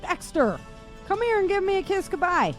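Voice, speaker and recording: A woman's voice: very high voice, big pitch swings, moderate pace, over music. A woman playing an affectionate, reassuring working mother in an audio drama.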